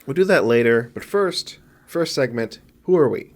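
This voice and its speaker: A man co-hosts a casual film podcast, sniffly from allergies. He rambles, keeps correcting himself and slips in jokes. His voice sits at an ordinary pitch. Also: expressive, moderate pace. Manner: rambling, self-correcting, jokey. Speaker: podcast co-host.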